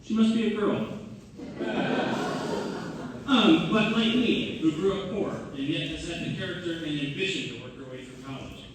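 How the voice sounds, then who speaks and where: A man's voice: fairly high pitch, moderate pace, pauses often, close to an everyday voice. A man in a community theater read-through playing an eager, bashful and picky young divinity student. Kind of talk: community theater stage comedy acting. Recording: distant, very echoey hall, faint noise.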